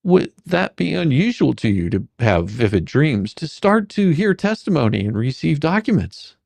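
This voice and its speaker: A performer playing a bumbling, sarcastic questioning attorney in a comedic deposition reading, halting and theatrical. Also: medium pitch, big pitch swings, vocal fry, a little mellow, no echo. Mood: probing, sarcastic. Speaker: dramatic reader.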